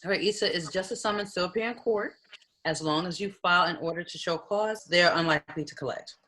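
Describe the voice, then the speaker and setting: A woman's voice: on the low side, fast talker, fairly bright tone, lightly acted. A woman playing a blunt, level-headed, easily exasperated lawyer friend in a comedy table read. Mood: reassuring, matter-of-fact. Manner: blunt, matter-of-fact.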